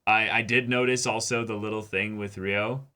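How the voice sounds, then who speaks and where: Bright, forceful low-voiced man. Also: fairly even intonation, moderate pace. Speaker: co-host of an anime reaction channel. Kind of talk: casual discussion of an anime episode.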